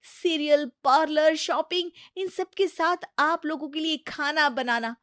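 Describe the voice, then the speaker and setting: Woman playing spoiled young wife: high, loud, self-important, dramatic complainer for a family moral story. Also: a little slow, no echo, studio quality. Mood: whiny, boastful. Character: arrogant, whiny, self-important young married woman, lazy daughter-in-law.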